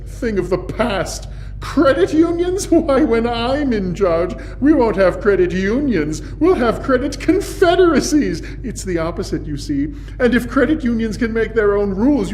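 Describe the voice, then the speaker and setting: A man's voice: very high-pitched, a little mellow, slight background noise. A man playing a grandiose supervillain in a comic film serial, pompously and theatrically gloating over plans for world domination. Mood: smug, mocking.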